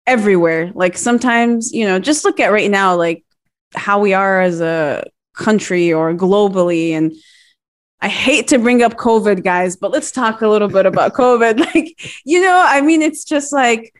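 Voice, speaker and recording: A woman's voice: medium pitch, normal speed. A woman chatting casually and informally as a podcast co-host. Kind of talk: casual chat.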